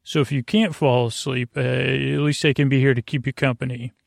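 A man with a creaky voice hosting a sleep podcast, rambling in a lulling, meandering, halting way. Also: mid-range voice, flat delivery, no echo, clean studio sound. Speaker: podcast host.

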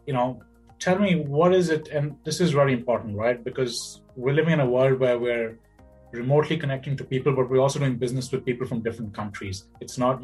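A male podcast host asks long, winding questions in a conversational tone, often checking in with "right?". His pitch is ordinary, and soft music hums faintly behind him. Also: poor audio. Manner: rambling questions, tags with "right?" and "you know". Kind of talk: casual interview conversation.

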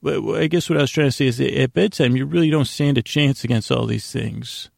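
A man hosting a bedtime podcast, rambling in a gravelly, creaky voice with halting, stammered repetitions and a self-deprecating manner. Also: medium pitch, dry recording, studio quality. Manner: meandering, halting, stammering with repeated words. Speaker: podcast host.

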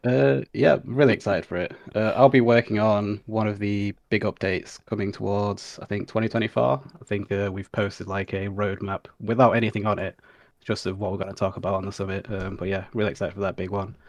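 Easygoing man, low voice, dry sound. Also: fairly even intonation, fairly quick, gravelly, no echo. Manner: easygoing, tentative, with fillers. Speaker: podcast guest, game company QA analyst. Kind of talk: casual chat.

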